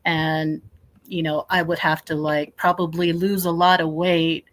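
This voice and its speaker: A man's voice: on the high side, little pitch movement, unhurried, fairly bright tone. A man speaking earnestly as a panelist, hesitating often with "uh". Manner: earnest, hesitant, frequent "uh" fillers. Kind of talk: panel discussion.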